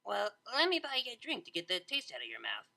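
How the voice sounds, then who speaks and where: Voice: medium pitch, huge pitch swings, fast talker, creaky, sharp tone, voice pushed up, exaggerated voice. A narrator voicing a brash, playful young female unicorn DJ with slangy, laid-back speech in a story. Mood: awkward. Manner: slangy, laid-back, stumbling over words.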